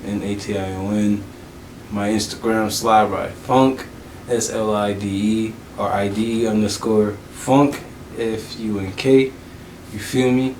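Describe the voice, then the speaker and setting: Casting: laid-back man, lower voice, echoey room with faint hiss, interview guest. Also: a little mellow. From South Carolina, US. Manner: laid-back, says "you feel me" a lot. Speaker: musician guest. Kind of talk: casual interview chat.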